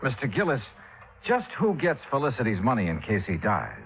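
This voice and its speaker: An actor playing a brisk, probing young investigator in a 1950s radio detective drama, polite and businesslike. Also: male voice, medium pitch, wildly swooping pitch. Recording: noisy, muffled old radio recording.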